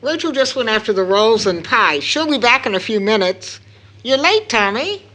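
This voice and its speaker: A woman's voice: a little high, very animated, moderate pace, a bit bright. A woman acting a tired, loving, anxious mother of two in an audio drama, with tender motherly endearments. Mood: warm, proud.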